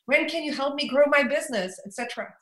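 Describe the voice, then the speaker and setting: A woman's voice: high-pitched, quick pace. A Belgian woman whose first language is Dutch, an author and coach telling her story in a reflective interview and laughing often. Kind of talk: conversational interview. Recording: echoey room.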